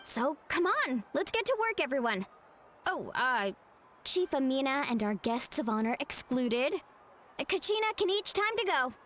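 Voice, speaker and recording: A woman's voice: high voice, sing-song, moderate pace, fairly bright tone, slight background noise. An actress voicing a spirited, encouraging young tribeswoman in an anime game. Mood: rallying.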